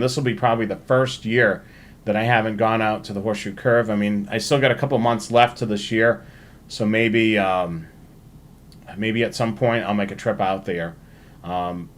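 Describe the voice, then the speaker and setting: A man hosting a livestream, talking informally through announcements in a halting way and repeating himself. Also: on the low side, fairly even intonation. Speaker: livestream host. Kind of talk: casual livestream sign-off and announcements.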